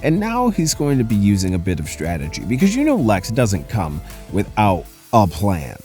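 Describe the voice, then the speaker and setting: A man hosting a casual commentary recap, telling the story in an animated, conversational way. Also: lowish voice, normal speed. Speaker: comics recap host. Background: music.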